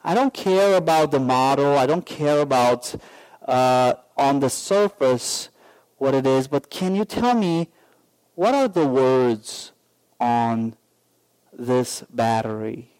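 A pastor preaching a sermon, telling a personal story in a slow, earnest way with many pauses and fillers. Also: male voice, medium pitch, animated, darkish tone, clean tone, poor audio.

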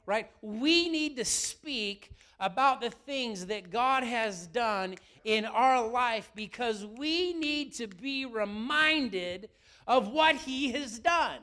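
Brief: middle-aged male pastor, high voice, slightly raspy, lively sermon that keeps asking questions. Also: slow pace. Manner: lively, asks the room questions often.

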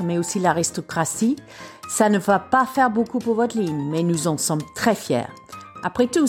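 A woman's voice: medium pitch, darkish tone. A British woman hosting a podcast interview in French, chatty and upbeat, laughing often. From Britain. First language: English. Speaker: podcast host.

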